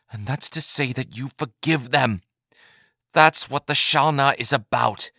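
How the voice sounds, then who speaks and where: A man's voice: a little high, bright, forceful tone, dry recording, clean studio sound, voice pushed up. A man doing an anguished proud alien warrior. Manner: pleading, emphatic.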